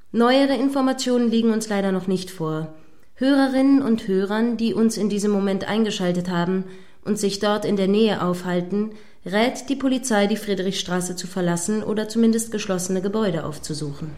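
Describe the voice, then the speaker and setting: A woman acts out a female radio news presenter in a mock live broadcast. Her voice sits at a medium pitch. She is earnest and prim, setting out long, formal sentences in a measured, correcting manner. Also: a little mellow, lightly acted. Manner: formal, measured, wordy phrasing.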